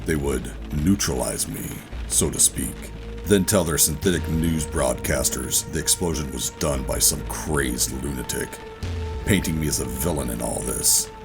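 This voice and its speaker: An extremely low-pitched, brooding operative played by a male actor in a sci-fi audio drama. He is bitter and defiant, a man pulled back into service against his will. Music plays clearly behind his voice. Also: measured pace, full character voice. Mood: brooding narration. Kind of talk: sci-fi audio drama acting with first-person narration. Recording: music bed under the voice.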